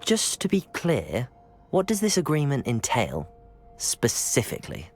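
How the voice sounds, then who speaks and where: An actor playing a polite, inquisitive young student adventurer in a video game, speaking in a measured, questioning way. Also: medium pitch, huge pitch swings, soft background music. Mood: composed. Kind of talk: video game dialogue acting.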